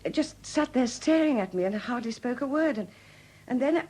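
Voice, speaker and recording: Husky, anxious woman. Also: a little high, lively intonation, brisk, a little mellow, low-quality recording. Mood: worried, hesitant. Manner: hesitant, faltering, apologetic. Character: anxious, hesitant Welsh woman, troubled and polite. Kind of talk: 1970s radio drama acting.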